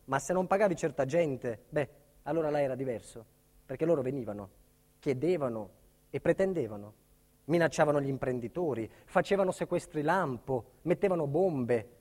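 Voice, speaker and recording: An actor playing a proud, burdened builder, telling his life story in an earnest, deliberate theatrical monologue. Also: a little high, expressive, darkish tone. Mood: grave. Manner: earnest, deliberate, emphatic pauses. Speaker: lead actor and narrator. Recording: long hall reverb.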